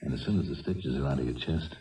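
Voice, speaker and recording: An actor playing a reassuring police lieutenant in a 1970s radio drama, measured and matter-of-fact. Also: male voice, extremely low pitch, dark tone, roomy sound, distant mic, low-quality recording.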